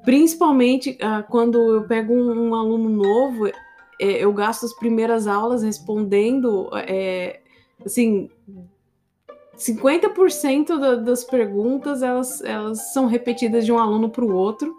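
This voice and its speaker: A woman English teacher with a Brazilian Portuguese accent, hosting a casual solo podcast in a chatty, animated way and often speaking straight to her listeners. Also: a little high, measured pace, soft background music.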